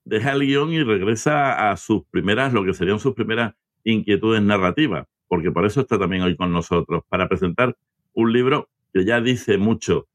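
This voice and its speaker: A man with a fairly low voice hosts an interview podcast. He speaks conversationally as he presents and questions a guest, and the sound is dry, with little room on it. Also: normal speed, no echo.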